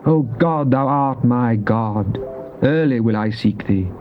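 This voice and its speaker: An actor playing a devout, fervent psalmist, declaiming in a solemn, measured way in a dramatized scripture reading. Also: male voice, mid-range voice, faint music behind, natural voice. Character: devout psalmist, fervent man praying to God. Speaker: scripture reader. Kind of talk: dramatized King James Bible reading. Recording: muffled old cassette with faint hiss.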